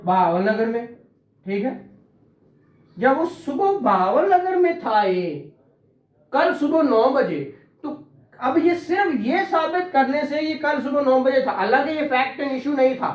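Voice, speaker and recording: A man's voice: extremely high pitch, animated, slow. A man with a Pakistani Punjabi accent lecturing on law to a class, repeating key phrases and prompting students with questions. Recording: echoey, reverberant room.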